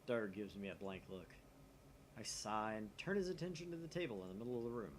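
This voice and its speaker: A man reading a visual novel script aloud as a let's play host, with expressive delivery and casual asides. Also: on the low side, fast. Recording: noisy room mic, slightly off to the side.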